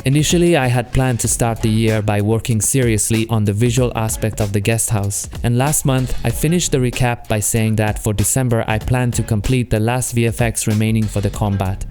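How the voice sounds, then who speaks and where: A man with a French accent, a solo game developer narrating his monthly progress in a calm, earnest, scripted way. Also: lowish voice, fairly quick, few pauses, faint music behind. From France.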